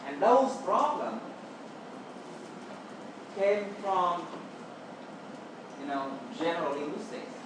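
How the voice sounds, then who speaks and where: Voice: medium pitch, lively intonation, slow pace, pauses often. A native Vietnamese speaker with a Vietnamese accent, giving an explanatory classroom lecture and checking understanding with short tag words. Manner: checks understanding with "okay" and "right". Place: roomy indoor space, distant mic, noise behind. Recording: echoey room from far off, noisy.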